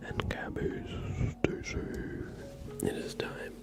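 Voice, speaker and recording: A man hosting an ASMR livestream, chatting casually and softly close to a sensitive microphone. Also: music in the background. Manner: whispered, soft-spoken, unhurried. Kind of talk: ASMR, casual stream chat.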